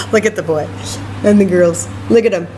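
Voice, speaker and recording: A woman reading a story aloud to children in an expressive way, with chatty asides and questions. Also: mid-range voice, takes it slowly, slight background noise, low-quality recording. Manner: expressive, asks listeners questions. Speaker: library story time reader.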